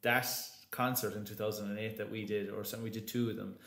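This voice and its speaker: A man's voice: fairly low pitch, little pitch movement, fast talker, gravelly, a bit bright. Chatty man in a roomy echo. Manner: chatty, many restarts and fillers. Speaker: guest, opera singer. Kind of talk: casual interview talk.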